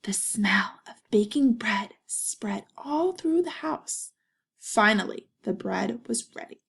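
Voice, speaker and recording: Creaky-voiced woman reading a storybook to children. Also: on the high side, expressive, slow. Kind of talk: read-aloud narration with questions to young listeners.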